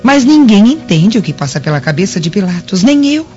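A woman's voice: mid-range voice, very animated, a little fast, a little mellow, close to an everyday voice. A Brazilian woman playing a composed, courteous Roman governor's wife in a radio drama, genteel and formal. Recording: muffled audio with music behind.

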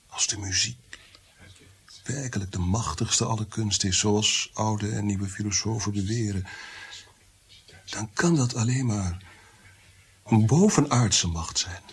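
An actor in a radio play playing a tormented, bitter, God-fearing prince-composer, brooding and theatrical, sometimes sinking to whispers. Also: male voice, on the low side, unhurried, pauses often, slightly rough, heavily acted. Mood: bitter, fervent.

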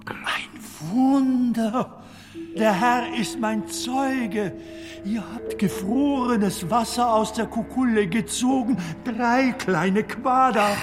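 Slow, earnest monk's assistant. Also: male voice, extremely high pitch, over music. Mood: reverent concern. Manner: deferential, earnest, questioning. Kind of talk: German radio drama acting.

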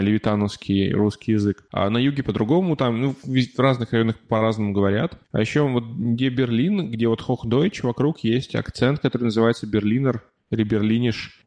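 A man's voice: on the low side, darkish tone. A man chatting casually on a podcast, telling stories with many fillers and restarts. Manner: rambling storytelling with frequent fillers and restarts. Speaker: podcast guest living abroad. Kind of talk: casual chat.

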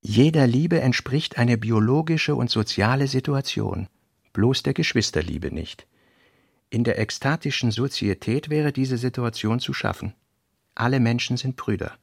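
A man reading literary notes for a radio play in a measured, sober manner. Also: medium pitch, creaky, husky, no echo, clean studio sound.

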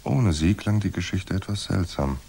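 Hard-boiled crime narrator, extremely low, husky, dry and terse delivery. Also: male voice, moderate pace, warm tone, exaggerated voice. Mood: grim, terse. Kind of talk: scripted noir narration in radio drama.

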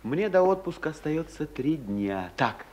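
A young Soviet senior lieutenant in a war radio drama. His voice sits a little high. He is cheerful and romantic, a lover of verse. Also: very animated, a little slow, darkish tone. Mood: excited. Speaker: actor in a radio play.

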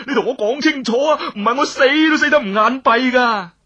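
A narrator voicing a condemned male swordsman, indignantly shouting desperate protests in Cantonese. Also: high voice, a little fast, breathy edge, muffled recording, voice pushed up, exaggerated voice. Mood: desperate outrage.

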